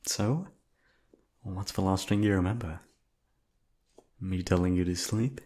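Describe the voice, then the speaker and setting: A man playing a seductive, teasing, dominant hypnotist coworker in an ASMR roleplay, with a deep, breathy, hushed voice. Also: a little slow. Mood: teasing, smug.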